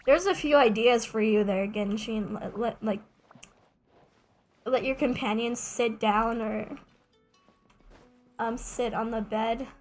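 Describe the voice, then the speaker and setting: A woman's voice: fairly high pitch, takes it slowly, long pauses, faint music behind. A young adult woman streaming a game, chatting casually in a rambling, self-mocking way with joking asides. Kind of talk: casual gameplay streaming chat.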